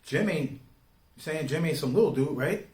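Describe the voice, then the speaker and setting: A man's voice, a little higher than average, rings in a clearly echoing room. He gives informal opinion commentary in street slang. His delivery is emphatic and deliberate, leaning on rhetorical questions to make his points. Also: expressive, darkish tone. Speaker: online commentator. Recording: roomy, echoing sound.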